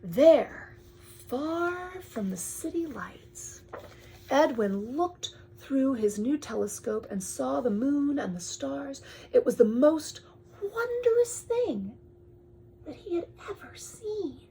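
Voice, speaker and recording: Slow, expressive woman reading a picture book. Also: high-pitched, slight background noise, poor audio. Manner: drawn-out phrasing, often dropping to hushed breathy tones; addresses the child with questions and pointers. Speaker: storyteller reading to a young child. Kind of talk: picture-book read-aloud with chatty asides.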